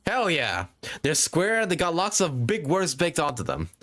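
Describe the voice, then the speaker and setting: A male streamer reacts casually to a game. His pitch is very high for a man and swings widely, and his manner is brash, sweary and mock-indignant. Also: wildly swooping pitch, moderate pace, fairly bright tone, slight background noise. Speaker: live streamer.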